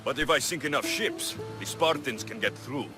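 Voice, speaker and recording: An actor playing a cocky, flirtatious and fearless Greek mercenary in a video game, confident and teasing. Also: male voice, medium pitch, sing-song, normal speed, over music, lightly acted. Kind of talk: video game cutscene acting.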